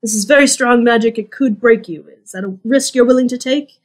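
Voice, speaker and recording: A woman's voice: fairly high pitch, brisk, lightly acted. A woman voicing a polite, fussy lizard man shopkeeper in a tabletop game, hesitant and apologetic with many fillers. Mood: fretful. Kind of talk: game master voicing a character.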